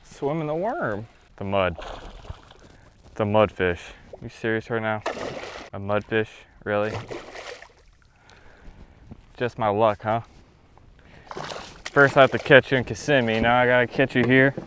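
A young man talks his way through a day on the water from his kayak, chatting to the viewers, to himself and to the fish he lands. His voice sits at an ordinary middle pitch and comes through an action camera mounted on the boat, with open-air lake sound and faint splashing of water behind him. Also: unhurried, long pauses, background noise. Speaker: fishing vlogger. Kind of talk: casual vlog narration.

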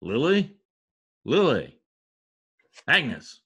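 A man's voice: medium pitch, huge pitch swings, drawn-out words, fairly bright tone, husky, highs cut off, heavily acted. An older man playing a pompous, exasperated radio star with a theatrical manner in old-time radio comedy. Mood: fretful, weary. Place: indoor home office. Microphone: computer mic on video call.

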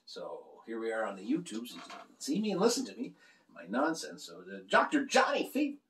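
Animated man reviewing beer, roomy sound. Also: fairly high pitch, fairly quick, vocal fry, slight background noise. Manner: animated, joking, gestures a lot while talking. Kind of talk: rambling casual beer review. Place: small room lined with beer shelves, indoors. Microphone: camera across the table. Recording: roomy, slightly distant sound.

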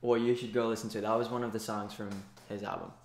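A seventeen-year-old man from Malaysia, softly and hesitantly chatting with strangers on video calls. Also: lowish voice, little pitch movement, fast, roomy sound. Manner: soft-spoken, stammering requests. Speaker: singer busking to strangers on video chat. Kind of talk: casual chat and singing. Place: bedroom with neon lights.

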